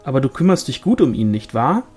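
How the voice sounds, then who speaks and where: A man's voice: mid-range voice, sing-song, fast, a little mellow, husky, soft background music. A man voicing a nosy, teasing village woman in an audiobook, inquisitive and full of insinuation. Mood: teasing, sly.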